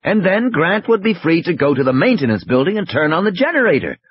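A man's voice: a little high, sing-song, a little fast, husky, dull audio, pitched above the performer's own voice. A man voicing an earnest male technical expert who explains and reasons, in dramatized audiobook dialogue. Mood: earnest, hopeful.

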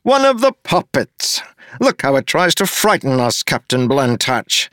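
A man voicing a smug, scheming male mayor who talks slowly and pompously, taunting his rival. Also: medium pitch, sing-song, fairly bright tone, no echo, studio quality, voice pushed up. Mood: contemptuous.

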